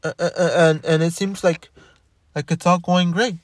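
A man's voice: fairly high pitch, fairly even intonation, normal speed, no room sound. Rambling man with a dry close sound. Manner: rambling, frequent restarts and repeated words. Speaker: commentator. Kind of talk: casual monologue.

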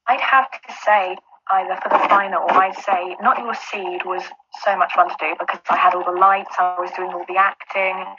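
A woman who is a finalist guest with a low alto voice, chatting confidently. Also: level tone, normal speed, muffled recording, very noisy. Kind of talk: casual chat.

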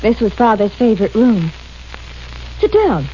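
A woman's voice: on the high side, sing-song, a little slow, dark tone. A coy, scheming young woman. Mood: coaxing. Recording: dull old radio broadcast with faint hiss.

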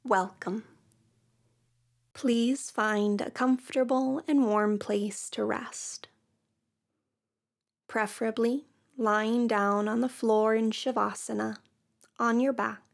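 A woman teaching yoga nidra, guiding a relaxation practice in a soft, soothing way with long pauses. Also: on the high side, fairly even intonation, creaky. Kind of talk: guided meditation.

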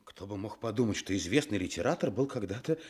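An actor playing an effusive, easily astonished gentleman with a husky voice in a Soviet radio play. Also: lowish voice, lively intonation, fast.

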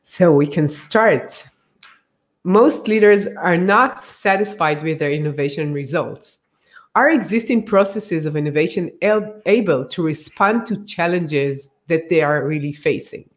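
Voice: medium pitch, unhurried. A podcast host and author speaking thoughtfully, rephrasing ideas while talking with a guest. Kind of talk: conversational podcast interview.

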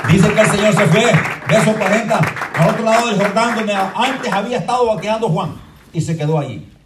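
Give me a high-voiced pastor preaching, echoing from afar. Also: male voice, fairly quick. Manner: fervent preaching that swings between quiet explaining and shouted proclamation; often asks the congregation "¿verdad?". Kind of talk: sermon. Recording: echoey room from far off with faint crowd noise.

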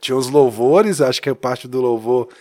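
A man's voice: mid-range voice, normal speed, mellow. A man from Minas Gerais with a Mineiro accent, telling his story casually as a podcast guest, laughing often and tagging lines with "né?". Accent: Mineiro Brazilian Portuguese. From Minas Gerais, Brazil. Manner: chatty, laughs often, many "né?" tags. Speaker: church youth leader, podcast guest.

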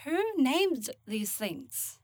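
A woman's voice: a little high, big pitch swings, drawn-out words, darkish tone, no echo, clean studio sound, exaggerated voice. A woman playing a blunt, sarcastic and grumpy young dead woman in a fantasy audio drama. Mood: curious, alarmed. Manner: sarcastic, casual, slangy.

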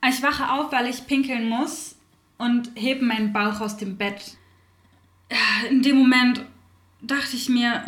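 A woman's voice: fairly high pitch, echoey room. A woman voicing an outspoken young mother. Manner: forceful, outspoken. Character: outspoken young mother recounting her birth. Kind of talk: theater radio play monologue.

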